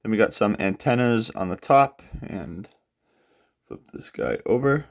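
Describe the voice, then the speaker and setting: Young man, very deep voice, gravelly, stuffy nasal cold, casual unboxing host. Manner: relaxed, many filler pauses. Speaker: aviation model channel host. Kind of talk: casual product unboxing walkthrough.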